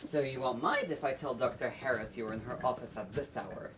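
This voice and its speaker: A man's voice: medium pitch, brisk, lightly acted. A teenage male student acting a confused, insistent captive spy in an amateur stage play. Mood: suspicious, insistent. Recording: distant noisy stage recording with clicks.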